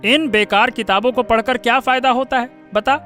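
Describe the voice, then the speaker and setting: A man's voice: very high voice, fairly even intonation, moderate pace, pushed and bright, soft background music. A man voicing a harsh, dismissive village father in a cartoon story, blunt and scolding. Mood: dismissive, irritated. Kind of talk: Hindi cartoon story voice acting.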